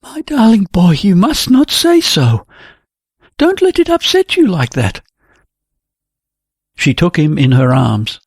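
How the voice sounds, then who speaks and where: Tender young woman artist, soothing and earnest, voiced by the book's narrator. Rough-edged, dry sound. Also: medium pitch, sing-song, normal speed, no room sound, voice pushed up, exaggerated voice.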